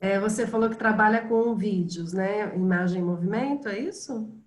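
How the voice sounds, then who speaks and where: A woman's voice: mid-range voice, moderate pace. A woman in an online meeting, asking questions politely. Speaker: participant. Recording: echoey room with faint noise.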